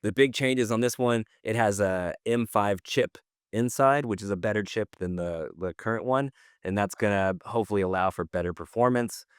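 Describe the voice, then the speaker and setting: A man's voice: lowish voice, moderate pace, clean tone, dry recording, studio quality. A man co-hosting a podcast in casual conversation, giving slangy opinions and thinking aloud. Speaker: podcast co-host.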